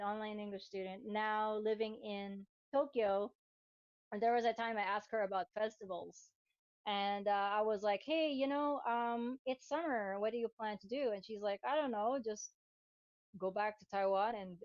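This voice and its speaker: A woman's voice: on the high side, a little mellow, no room sound, lo-fi sound. A woman in her thirties from the Philippines, chatting casually as co-host, talking hesitantly with many fillers. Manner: hesitant, lots of fillers and restarts, laughs often. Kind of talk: casual chat.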